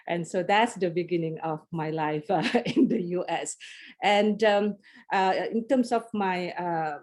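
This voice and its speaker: A middle-aged Malaysian woman speaks in a fairly low voice over a video call. She presents in a friendly, casual way, pausing often on fillers and restarting her sentences. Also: a little mellow. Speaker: guest presenter.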